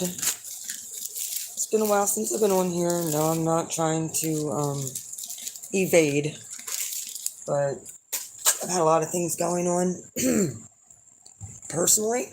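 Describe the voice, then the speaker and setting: A hoarse middle-aged woman chatting casually to her viewers as an astrology reader, rambling and blunt with lots of fillers. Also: sits low, warm tone, slight background noise, low-quality recording. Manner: rambling and blunt, with frequent fillers and some swearing. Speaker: astrology reader and channel host.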